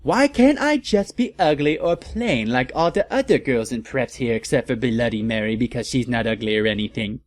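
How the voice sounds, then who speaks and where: A man's voice: mid-range voice, animated, moderate pace, few pauses, no echo, close to an everyday voice. A man voicing a vain, melodramatic teenage goth girl in a petulant, whiny manner for a comedic story reading. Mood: self-pitying.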